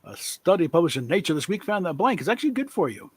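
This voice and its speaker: A man's voice: high-pitched, very animated, fast, husky. A man hosting a podcast party game, reading prompt cards aloud and laughing at his own jokes. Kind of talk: casual chat.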